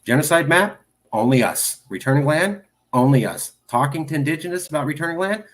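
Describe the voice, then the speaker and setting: A man's voice: on the high side, animated, fairly quick. A man commentating over video clips of his group's work, emphatic and repetitive in a promotional way. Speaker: advocacy group leader.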